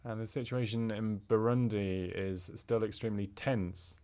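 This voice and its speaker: A man interpreting a formal speech into English, halting, with fillers and restarts. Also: fairly low pitch, fairly even intonation, warm tone, clear, resonant tone.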